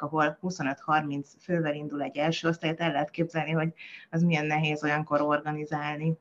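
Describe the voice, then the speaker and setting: A woman's voice: deep voice, dull audio. A woman child psychologist, a podcast guest, explaining things in a hesitant way with frequent filler sounds. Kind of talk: conversational interview.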